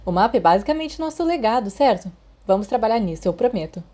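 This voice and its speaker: A woman voicing a confident, mischievous teenage schoolboy ringleader in an audiobook, brisk and persuasive. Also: on the high side, big pitch swings, dark tone. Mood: assured.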